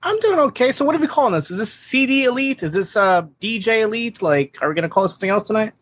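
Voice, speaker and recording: A man from New chats casually as a podcast guest, his voice somewhat hoarse as he loses it. He talks in a relaxed, talkative way with a slightly high pitch. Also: fast. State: losing his voice. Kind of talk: casual chat.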